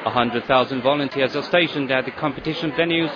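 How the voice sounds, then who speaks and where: A man narrating a television news report in a measured, even scripted delivery. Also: medium pitch. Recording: dull lo-fi broadcast audio with crowd and street noise.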